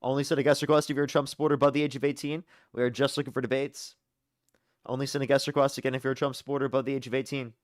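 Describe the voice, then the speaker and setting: A young man hosts a live call-in debate stream, rattling through callers at a rapid clip. His voice sits close and dry, with no room sound around it. He moves briskly from one caller to the next and drops sarcastic asides along the way. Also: medium pitch, little pitch movement, no echo. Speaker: livestream debate host.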